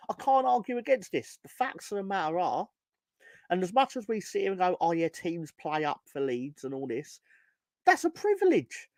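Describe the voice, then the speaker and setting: A hoarse man ranting on a casual football fan podcast, swearing and rambling with many restarts. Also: high voice, no room sound. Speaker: podcast co-host.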